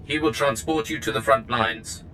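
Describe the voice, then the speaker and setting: An actor playing a dutiful Imperial military officer in a game, with formal, clipped speech. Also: male voice, on the low side, normal speed, bright, forceful tone, a little hiss or hum, poor audio. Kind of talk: video game cutscene acting.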